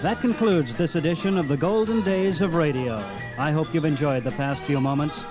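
An American man presents scripted radio narration as the host of a nostalgia program. His voice sits a little higher than usual for a man. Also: moderate pace, over music.

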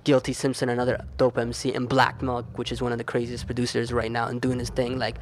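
A man interviewing casually as a podcast host, informal and a little halting as he puts his questions. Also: mid-range voice, monotone, slight background noise.

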